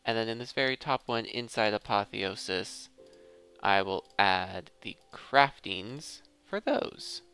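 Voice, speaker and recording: A man gives casual gameplay commentary in a lower-pitched, crisp voice with a touch of fry. He thinks aloud as host, talking through every step. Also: slow pace, pushed and bright, soft background music. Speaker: host of a gaming video series.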